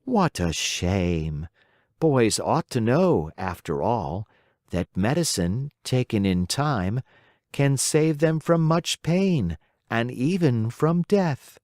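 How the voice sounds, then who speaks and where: A patient, motherly fairy, as a storybook reader puts her on, speaking gently and unhurriedly. Her voice is a little raised and moves freely, heard close and dry. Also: mid-range voice, sing-song, slow, no echo. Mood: coaxing. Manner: gentle, coaxing and firm.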